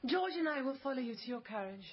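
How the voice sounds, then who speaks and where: A woman's voice: high voice, animated, quick pace, highs cut off. An actress playing a controlled, protective aristocratic mother in a period radio drama, formal and clipped. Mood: composed.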